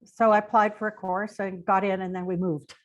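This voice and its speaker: A woman's voice: medium pitch, flat delivery, a little fast. An older woman with a Canadian accent, speaking as an interview guest in an informal, rambling, anecdotal way. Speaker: interview guest, retired police dispatcher. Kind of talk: conversational personal storytelling.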